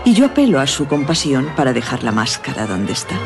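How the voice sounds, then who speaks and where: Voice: mid-range voice, big pitch swings, a little fast, vocal fry. A performer playing a mature, elegant, teasingly evasive masked noblewoman in a radio drama, with a coy, aristocratic, theatrical delivery. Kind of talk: Spanish radio drama dialogue. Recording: radio drama with music and party crowd.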